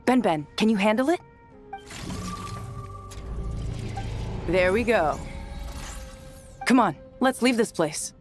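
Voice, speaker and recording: Game role, female actor: tough, breezy young desert fighter, confident and casual, medium pitch. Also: animated, moderate pace, natural voice. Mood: teasing. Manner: casual, cocky, offhand. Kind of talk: video game character dialogue. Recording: faint game music behind the voice.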